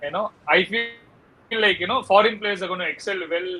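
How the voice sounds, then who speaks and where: A man's voice: sits high, fairly even intonation, fast talker, pushed and bright, soft background music, rough recording. A man with an Indian accent talking casually as a cricket panelist, hesitant and often padding his sentences with filler phrases. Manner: hesitant, repeats "you know" constantly. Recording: faint background noise.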